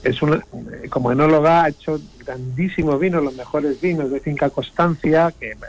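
Man, wine commentator, somewhat high voice, enthusiastic, rambling, casual radio chat. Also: steady tone, moderate pace, poor audio.

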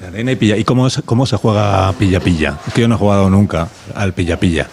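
A man's voice: on the low side, vocal fry, a little mellow. Playful male interviewer in a school. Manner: playful, chatty, asks many quick questions. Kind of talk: casual chat with children. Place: rural school classroom.

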